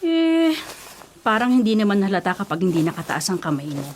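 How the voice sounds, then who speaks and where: An actress playing a caring, protective middle-aged mother in a Filipino radio drama, gently chiding in a Filipino accent. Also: medium pitch, very animated, a little fast, a little hiss or hum, lightly acted. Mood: concerned, chiding. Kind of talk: Tagalog radio drama acting.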